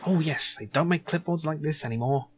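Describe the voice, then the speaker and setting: A man's voice: fairly high pitch, brisk, a little hiss or hum, lightly acted. A man voicing a proud, chatty factory supervisor in an adventure game, earnest and fussy about his job.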